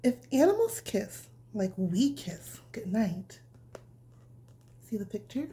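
A woman reading a picture book aloud as a bedtime storyteller, speaking in a nurturing, simple way as if to a small child, with a breathy voice that often drops toward a whisper. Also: medium pitch, big pitch swings, slow pace, mellow, a little hiss or hum.

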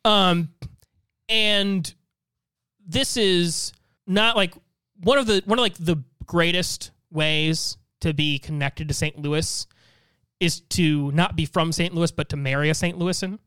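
A man co-hosting a casual podcast chat, talking in halting bursts peppered with "like". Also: fairly high pitch, pushed and bright, clean tone, no echo. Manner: halting, heavy use of "like". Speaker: podcast co-host.

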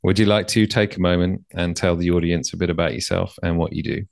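A man hosting a podcast, asking his guest questions in a hesitant, halting way. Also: low voice, fairly even intonation, gravelly, a little mellow, no room sound, clean studio sound. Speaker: podcast host. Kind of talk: interview questions.

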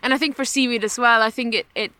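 A woman's voice: a little high, fairly even intonation, fast talker, bright, forceful tone, no echo. A woman whose first language is German, hosting a podcast interview in a conversational, appreciative way. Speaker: podcast host.

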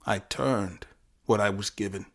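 A man performing a dramatic first-person story as a troubled, intense teenage boy with foresight, in a halting, deliberate manner with dramatic pauses. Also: lowish voice, vocal fry, fairly bright tone, full character voice. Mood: earnest, self-justifying. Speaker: writer performing own short story. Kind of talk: dramatic first-person monologue, flash fiction podcast.

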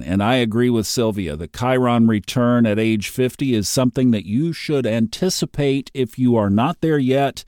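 An upbeat man in his fifties talks through his daily astrology podcast in an easy, chatty way. His voice sits at a middle pitch, and it is recorded close and dry with no room sound. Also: a little mellow, no room sound. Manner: chatty, upbeat, laughs easily. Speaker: podcast host.

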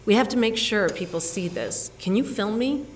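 Casting: woman as bossy, determined female doctor, audio-drama lead, mid pitch, ambient sound behind. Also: expressive, moderate pace, slight background noise, natural voice. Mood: composed, resolute. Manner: urgent, emotive. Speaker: narrator and lead actor in a fiction podcast. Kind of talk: audio drama, narration mixed with acted dialogue.

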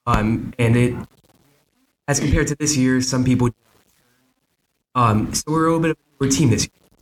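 A man guest from the swim team answering haltingly with many ums. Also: mid-range voice. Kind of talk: interview answers. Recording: noisy, clicking background.

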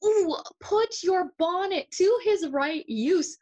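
A woman playing a brooding, mocking young Shakespearean prince in an audio play, theatrical and deliberate, moving between English and Spanish. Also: very high voice, animated, a little mellow, dull audio, heavily acted. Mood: mock courteous.

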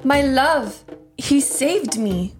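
A woman's voice: high-pitched, animated, extremely slow, faint music behind, full character voice. A woman playing a devoted, pleading wife of a Roman governor in a religious audio drama, earnest and imploring.

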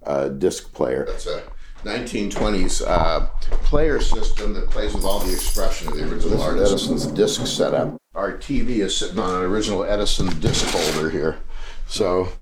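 A man's voice: sits low, moderate pace, background noise. A man, a dentist and collector, talking unhurriedly at home about his old machines, with hesitant fillers. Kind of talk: casual explaining.

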